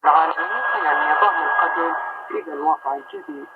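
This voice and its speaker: Male newsreader, extremely fast delivery, thin tinny shortwave radio sound. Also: a little high, very noisy. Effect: shortwave radio reception. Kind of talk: news reading.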